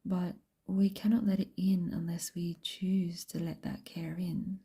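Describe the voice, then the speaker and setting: A woman guiding a meditation in a soft, breathy whisper, speaking gently and unhurriedly with long pauses. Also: lowish voice, little pitch movement. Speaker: meditation guide and host. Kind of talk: slow guided meditation, soft whispered speech.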